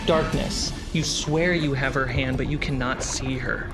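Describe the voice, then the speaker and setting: A man narrating a tabletop fantasy game as game master, in deliberate, suspenseful short phrases. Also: medium pitch, steady tone. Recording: music behind the voice.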